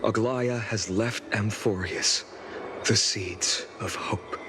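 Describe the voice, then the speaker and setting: An actor playing an earnest young heroic leader in a game, burdened yet courteous, speaking gravely and politely. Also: male voice, fairly low pitch, steady tone, slow pace, heavy background noise. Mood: grave, gentle. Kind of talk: scripted dramatic game voice acting. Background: music.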